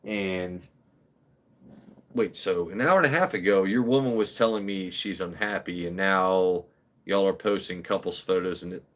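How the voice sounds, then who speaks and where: A man's voice: deep voice, moderate pace, fairly bright tone, highs cut off. A man in his thirties hosting a casual livestream, talking bluntly with lots of swearing and rambling asides. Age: 30s. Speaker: whiskey channel host.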